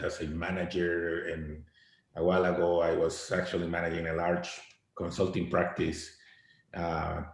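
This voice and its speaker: A man in his forties from Costa Rica, a guest answering interview questions in a halting way, often repeating his words. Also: deep voice, darkish tone. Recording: very echoey room.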